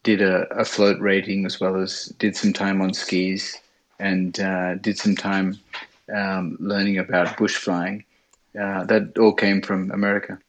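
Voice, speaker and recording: Low-pitched Australian man, halting delivery. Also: flat delivery, slight background noise. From Sydney, Australia. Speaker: interview guest, bush pilot. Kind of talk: conversational interview storytelling.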